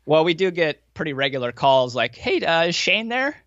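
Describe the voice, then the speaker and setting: A man's voice: a little high, sing-song, normal speed, a bit bright, dry recording, lo-fi sound. An American man answering interview questions in a casual, informal, anecdotal way, with some self-deprecation. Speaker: podcast guest, value investor running a fund.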